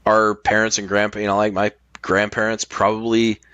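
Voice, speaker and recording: A man's voice: lowish voice, completely flat delivery, normal speed, fairly bright tone, smooth, no echo, muffled recording. A man chatting casually as a podcast host, explaining his opinions emphatically and at length, with plenty of swearing. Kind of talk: casual chat.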